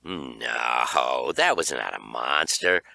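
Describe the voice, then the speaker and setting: A man's voice: a bass voice, animated, very slow, vocal fry, sharp tone, dry recording, pitched below the performer's own voice, heavily acted. A man voicing a young boy in a children's horror story, puzzled and skeptical with his friend. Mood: dismissive.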